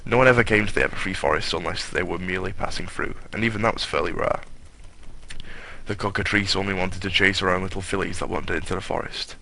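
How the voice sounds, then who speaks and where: A man's voice: sits low, fast talker, creaky, pushed and bright. A man narrating a comic story as a hammed-up dramatic reading, in a mock-grandiose manner with offhand asides.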